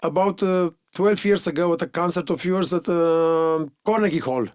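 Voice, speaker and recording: Higher-voiced man hosting, dry close sound. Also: moderate pace, clear, resonant tone, dry recording. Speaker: podcast host. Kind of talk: casual podcast interview.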